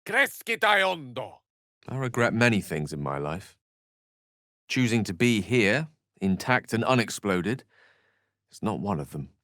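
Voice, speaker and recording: A man's voice: on the low side, very animated, measured pace, long pauses, bright, forceful tone, no room sound, clean studio sound, full character voice. A man playing an eloquent, bookish video game wizard, wry, proud and courteous, with an articulate, theatrical and refined delivery. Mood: forceful. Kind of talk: video game character acting.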